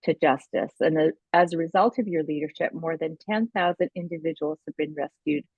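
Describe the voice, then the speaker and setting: A woman podcast host introduces a guest conversationally. Her voice is fairly low, and the recording is very dry and a little dull. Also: a little fast, slightly rough, no room sound.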